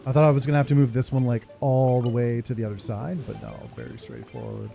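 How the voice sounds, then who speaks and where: A man giving casual gameplay commentary as a video host, excitable, sweary and informal. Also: medium pitch, fast, creaky. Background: game music.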